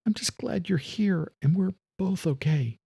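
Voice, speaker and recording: A woman's voice: on the low side, expressive, measured pace, vocal fry, dark tone, slightly rough, no room sound, clean studio sound, voice pushed up, exaggerated voice. A man doing an anxious, doting mother. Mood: soothing. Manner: soothing, eager to please. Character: anxious, doting middle-aged mother, fearful.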